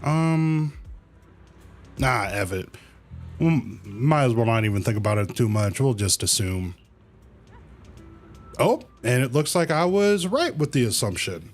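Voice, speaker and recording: A man's voice: mid-range voice, expressive, unhurried, pauses often, faint music behind. A man casually commentating on a game livestream, reading dialogue aloud in a laid-back, colloquial way and muttering to himself. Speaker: game streamer.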